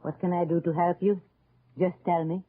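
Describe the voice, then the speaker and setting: An actress playing a brisk, bold French resistance charwoman with a French accent and a husky voice, in old radio drama style. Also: on the low side, flat delivery, no room sound, close to an everyday voice. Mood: willing, matter-of-fact. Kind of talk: 1940s-50s radio drama acting. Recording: muffled old radio recording.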